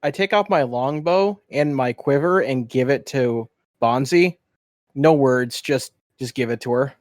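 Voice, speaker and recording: A man's voice: mid-range voice, unhurried, dry recording, clean studio sound. A man playing a tabletop game, casually describing his character's actions.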